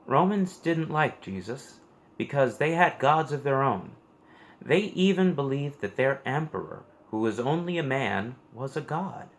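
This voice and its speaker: A man reading a scripted story aloud for children in an expressive storytelling manner. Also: mid-range voice.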